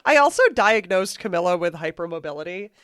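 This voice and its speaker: A woman's voice: lowish voice, expressive, fairly quick, a bit bright, clean tone, no room sound, clean studio sound. A woman speaking as a podcast guest in a casual discussion of a book.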